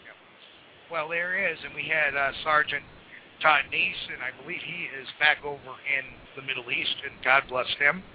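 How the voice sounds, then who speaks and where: A hoarse man hosting a casual call-in radio show, rambling with plenty of fillers and restarts. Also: fairly high pitch, steady tone, normal speed, slight background noise. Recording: muffled lo-fi radio broadcast.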